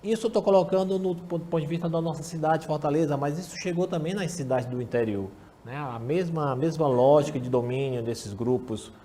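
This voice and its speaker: A man's voice: on the high side, fairly quick, mellow, a little hiss or hum. A man, a professor giving emphatic political commentary on a talk show, often repeating words as he builds his point.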